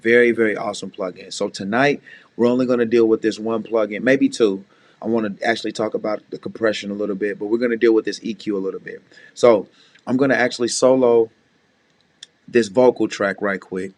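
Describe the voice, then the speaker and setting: A man with a deep voice, a music producer casually talking beginners through mixing, often saying "you know what I'm saying". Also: no room sound. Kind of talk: casual screen-share tutorial.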